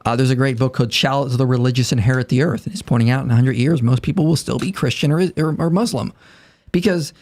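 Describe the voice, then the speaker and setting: A man's voice: fast talker, a little mellow. A man in his late thirties, a podcast guest, making his case earnestly in casual conversation and acting out imagined speakers. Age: 30s. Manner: earnest, argumentative, voices imagined speakers in raised pitch.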